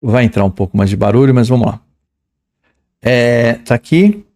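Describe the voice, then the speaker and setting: A young man with a São Paulo accent talks students through an English exam in a loose, slangy way. His voice is gravelly and mellow. He reads English phrases aloud, then translates and jokes about them. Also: medium pitch, normal speed. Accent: São Paulo Portuguese. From São Paulo, Brazil. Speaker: English teacher. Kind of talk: exam-prep lesson.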